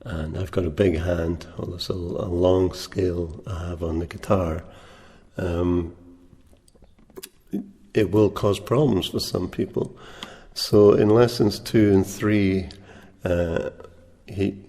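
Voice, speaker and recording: Slow, deep soft-spoken male guitar teacher. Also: steady tone, husky. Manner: soft-spoken, unhurried, with many ums and uhs. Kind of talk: relaxed spoken guitar lesson. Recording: reverberant room with guitar playing.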